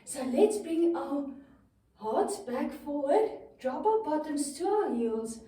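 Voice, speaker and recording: Slow-speaking, high-pitched woman in an echoey room. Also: very animated, mellow. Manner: encouraging, simple step-by-step instructions for young children. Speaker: children's yoga teacher and storyteller. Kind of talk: storytelling mixed with guided movement instruction. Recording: very echoey room, distant microphone.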